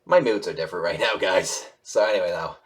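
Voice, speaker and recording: A man hosting a casual news commentary video, reading aloud and reacting in a halting, rambling, self-correcting, somewhat apologetic way. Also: low voice. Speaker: YouTube host reviewing animation news.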